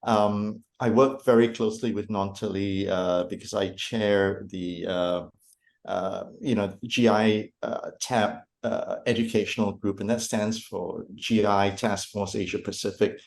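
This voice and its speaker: Guest endoscopist, man, lowish voice, casual and hesitant intro. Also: steady tone, measured pace, vocal fry. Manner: hesitant, many fillers. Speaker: interventional endoscopist, guest expert.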